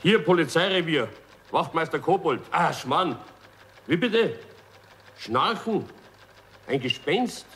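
High-pitched indignant police sergeant. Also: male voice, lively intonation, slow pace, pauses often, a bit bright, full character voice. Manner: brusque, officious. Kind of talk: children's radio play.

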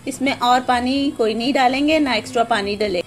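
A woman with a slightly high voice walks the viewer through a recipe from her home kitchen. Her delivery is plain and homely, with each step explained patiently. Soft music plays faintly under her voice. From Pakistan. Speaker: cooking channel host. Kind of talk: step-by-step cooking instruction.

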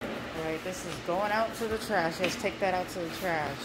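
A woman's voice: fairly low pitch, moderate pace, very noisy. A woman in her thirties chatting casually to viewers while cleaning out her garage, upbeat and chatty, recorded on a handheld camera. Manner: upbeat, chatty, laughs often. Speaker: vlogger. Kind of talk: casual cleaning vlog chat. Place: cluttered home garage. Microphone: handheld camera, selfie framing at times.

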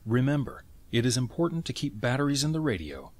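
A man narrating scripted instructions in clear, step-by-step phrasing. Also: mid-range voice, big pitch swings, fast talker, no echo.

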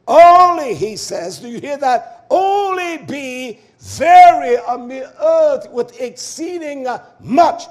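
Really high pitched guy preaching hard, stern fiery bible teacher, repeats words for punch, combative. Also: dark tone. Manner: emphatic, repeating key words for force. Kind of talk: fiery sermon with verse-by-verse exposition.

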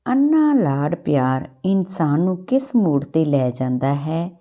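A Punjabi woman narrates a literary story in a lowish voice with a dark, mellow tone. She reads from a script as a storyteller, unhurried and expressive. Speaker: storyteller, host of a story channel.

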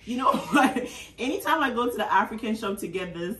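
A young Ghanaian woman chatting casually about her grocery haul, animated and full of um fillers, recorded at a table indoors. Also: on the high side, fairly quick, echoey room, distant mic. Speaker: vlogger. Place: small indoor room at a table.

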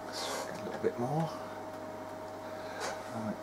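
A man talks casually to himself while working through a hands-on electronics test. His remarks come in short, halting, muttered bursts at a middling pitch. Clear background noise sits behind him in a small workshop, picked up by a camera on the bench. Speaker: hobbyist presenter of a video diary. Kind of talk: casual running commentary while testing electronics. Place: small cluttered indoor electronics workbench.

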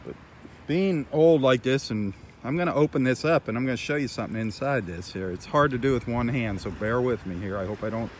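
Man's casual voice over loud outdoor noise. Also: medium pitch, a little fast. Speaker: host of a live beach walk. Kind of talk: casual live nature vlog narration. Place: outdoors on a sandbar at a beach. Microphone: handheld phone camera. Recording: noisy outdoor audio with lapping water.

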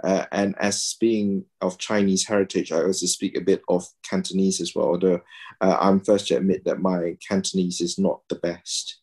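Guest, 30s man from Malaysia, very deep voice, halting delivery, video call. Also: level tone, darkish tone, breathy edge. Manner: hesitant, many fillers and restarts. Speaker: guest, pediatrician and game player. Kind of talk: casual video-call interview. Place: home room, indoors.

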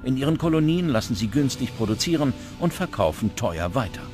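A man narrates a documentary from a script. His voice sits at a middling pitch, with music clearly audible behind him. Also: very animated, a little fast. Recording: music under the voice. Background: background music.

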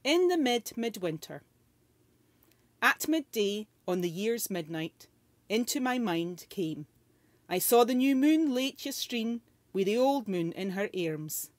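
A woman's voice: on the high side, animated, takes it slowly, no room sound. A woman reading a poem aloud in a recitation style. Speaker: poetry reader. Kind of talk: poetry recitation.

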